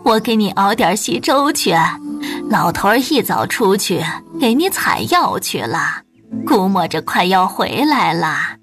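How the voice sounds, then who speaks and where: Man acting as elderly village woman, kindly, fussing, slow drawl, very lively intonation, audiobook character. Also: high voice, faint music behind, pitched above the performer's own voice, heavily acted. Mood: caring. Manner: slow, drawn-out, fussing speech. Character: kindly old country woman, caring, gently teasing.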